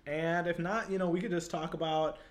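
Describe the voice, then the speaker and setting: A man's voice: a little high, level tone, brisk. Chatty Chicago-accented man hosting a livestream. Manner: chatty, upbeat, lots of "like" and "totally". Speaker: livestream show host. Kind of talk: casual live interview chat.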